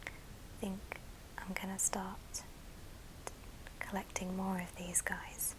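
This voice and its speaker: A woman hosting an ASMR show and tell in a soft, breathy whisper, speaking gently in short phrases. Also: mid-range voice, completely flat delivery, slow, low-quality recording. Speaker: ASMR video host.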